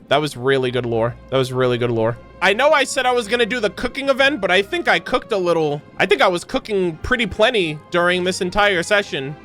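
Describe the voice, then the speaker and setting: A man's voice: high voice, a bit bright. A man giving casual, off-the-cuff commentary as a gaming content creator, rambling as he thinks aloud. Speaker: video game reaction content creator. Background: background music.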